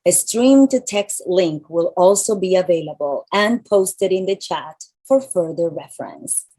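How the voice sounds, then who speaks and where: A woman with a deep voice and a mild Spanish accent, reading scripted welcome announcements as the event host over a video call from a large room. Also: animated, unhurried, warm tone, slightly rough, rough recording.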